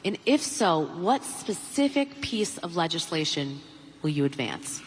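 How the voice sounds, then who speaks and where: Woman reporter, deliberate questioning, echoey hall, faint background noise. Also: fairly low pitch, big pitch swings.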